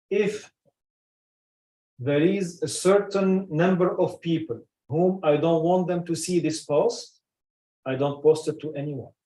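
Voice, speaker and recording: A man's voice: on the high side, darkish tone. Emphatic Arabic-speaking man in an echoey room. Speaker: speaker at a community meeting on personal branding. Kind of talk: informal talk to a small group. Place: indoor meeting room. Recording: echoey room, far from the mic.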